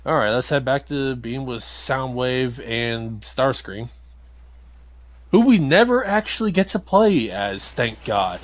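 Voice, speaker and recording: A man's voice: medium pitch, lively intonation, slow. A man giving casual, wry let's play commentary as he hosts a playthrough. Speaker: gamer hosting a playthrough.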